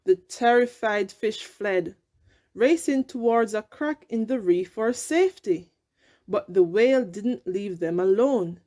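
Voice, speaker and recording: A woman reads a children's story aloud at a slow pace. Her voice sits at an average pitch, and she tells the tale deliberately and with expression. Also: expressive, a little mellow. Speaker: storybook reader. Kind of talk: children's read-aloud narration.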